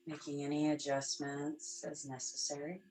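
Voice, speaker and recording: Low, soft-spoken woman guiding relaxation. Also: poor audio. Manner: slow, soft-spoken, calm instructions in short phrases. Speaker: yoga instructor. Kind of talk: guided yoga nidra meditation.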